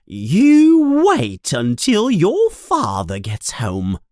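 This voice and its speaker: A man's voice: very high-pitched, huge pitch swings, slow, a bit bright, slightly rough, no echo, studio quality, voice pushed up, full character voice. A man voicing a stern, plump, motherly woman who scolds shrilly and turns sweet with guests. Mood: angry.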